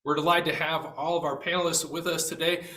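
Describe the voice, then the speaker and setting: Man moderator, bright and somewhat high voice, formal intros, roomy echo. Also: flat delivery, fairly quick. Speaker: panel moderator. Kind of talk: reading introductions.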